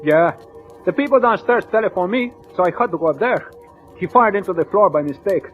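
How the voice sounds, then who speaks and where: Eager high-voiced elevator kid. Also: male voice, lively intonation, brisk, soft background music. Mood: eager, curious. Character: eager, chatty, nervous young male elevator operator. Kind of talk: 1940s radio crime drama acting. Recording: dull, muffled vintage radio recording.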